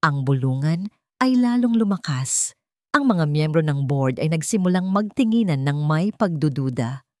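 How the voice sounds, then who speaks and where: A woman narrates a dramatic story in a scripted storytelling style. Her voice sits on the low side, and the recording is dry with little room sound. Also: expressive, normal speed, warm tone, no echo.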